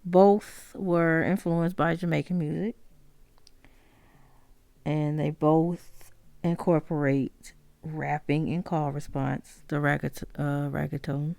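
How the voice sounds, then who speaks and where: A woman hosting a solo music history podcast, talking haltingly with many pauses, fillers and self-corrections. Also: deep voice, steady tone, creaky, dark tone, no echo. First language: not Spanish. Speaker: podcast host.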